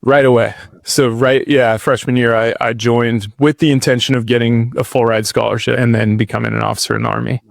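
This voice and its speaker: A man's voice: mid-range voice, level tone, brisk, gravelly, hardly any pauses, dry recording, studio quality. A man in his thirties, a podcast guest, telling his life story in a loose, rambling way with lots of "like" and "dude". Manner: laid-back, rambling, says dude and like a lot. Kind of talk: casual storytelling interview.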